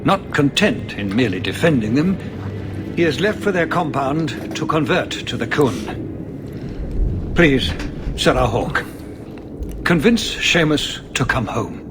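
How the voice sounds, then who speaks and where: A man's voice: mid-range voice, lively intonation, unhurried, long pauses, over music, close to an everyday voice. An actor playing a weary, anxious noble father in a fantasy game, speaking in a formal, theatrical way. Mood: pleading. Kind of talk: dramatic fantasy game dialogue.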